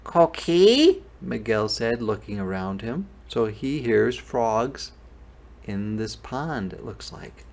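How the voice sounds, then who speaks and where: A man reading a children's storybook aloud as a teacher, pausing to explain things. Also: on the low side, vocal fry, darkish tone.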